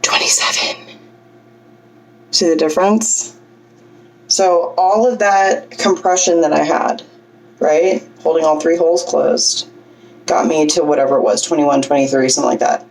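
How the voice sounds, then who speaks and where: Low-voiced woman coaching breath, a little distant. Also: unhurried, pauses often, darkish tone. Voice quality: low singing voice. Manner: chatty, self-deprecating, coaching with frequent "okay?" checks. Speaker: vlogger teaching breathing. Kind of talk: casual instructional vlog.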